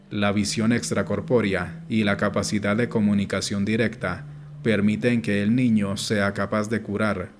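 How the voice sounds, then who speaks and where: A man reading an audiobook in a measured, explanatory way, as scripted narration. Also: on the low side. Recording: music playing behind the voice. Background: background music.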